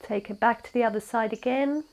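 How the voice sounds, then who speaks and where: A young woman teaching a gentle yoga class, her voice congested and nasal from a cold, guiding slowly and calmly. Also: on the high side, creaky. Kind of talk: guided yoga class instruction.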